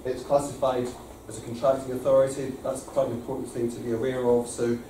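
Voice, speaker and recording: A man's voice: medium pitch, quick pace, noisy background. Scottish man presenting in echoey room. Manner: relaxed, self-deprecating, many fillers. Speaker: company managing director presenting. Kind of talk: formal presentation to a council panel. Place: large council committee room. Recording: echoey committee room from far off.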